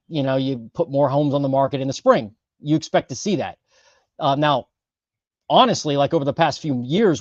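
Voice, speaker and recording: A man hosting a casual livestream, talking through housing data with lots of fillers and quick self-corrections. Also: fairly high pitch, dry recording, highs cut off. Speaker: host of a housing market livestream.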